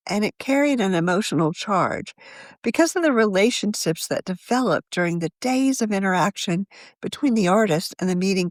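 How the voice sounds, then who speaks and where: A woman reading a written article aloud as a magazine editor, in a measured, journalistic manner of scripted narration. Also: mid-range voice, no echo, clean studio sound.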